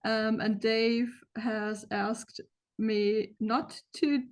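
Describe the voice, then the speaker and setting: A young woman who moderates an online talk gives a welcome and housekeeping notes over a video call, friendly and informal, often pausing on fillers. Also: on the high side, level tone. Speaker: moderator introducing a speaker.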